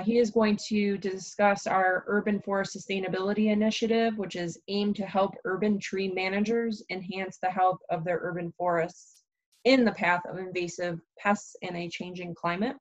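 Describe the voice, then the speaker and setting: A woman running a webinar, polite and organized as she passes along questions from the chat. Her pitch stays level. The audio is dull and lo-fi, as on a video call. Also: fairly even intonation, moderate pace. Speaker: webinar moderator. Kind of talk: moderating a webinar Q&A.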